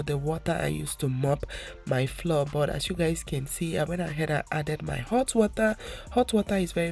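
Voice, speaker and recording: Young female vlogger, stuffy-nosed, chatty and rambling, slightly low and gravelly. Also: darkish tone. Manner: chatty, repetitive, addresses viewers as guys. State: stuffy nose. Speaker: home vlogger. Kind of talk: casual voice-over narration.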